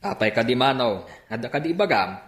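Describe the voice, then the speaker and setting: A man's voice: medium pitch, lively intonation, a little fast, fairly bright tone, reverberant, slight background noise, natural voice. A man playing an easygoing, curious father in a Filipino radio drama, conversational and questioning. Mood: defensive. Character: easygoing, curious father chatting about his child.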